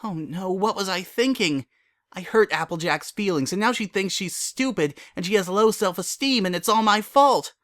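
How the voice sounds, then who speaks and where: A man's voice: sits high, normal speed, fairly bright tone, dry recording, clean studio sound, pitched above the performer's own voice. An earnest, worrying young mare of a scholar pony , acted out by a male reader, speaks in a raised voice with careful diction. She sounds bookish and fretful, like a character in a storybook reading. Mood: anxious, self-blaming. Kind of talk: dramatic fan fiction reading.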